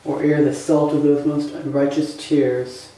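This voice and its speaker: A man plays a bitter, anguished young prince delivering a Shakespearean monologue. The pace is slow, with weighty dramatic pauses and a soft, dark tone. The voice rings through a very echoey room. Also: fairly high pitch, little pitch movement, natural voice. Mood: bitter grief. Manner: halting, emphatic, sighing delivery with dramatic pauses. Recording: echoey room, distant microphone.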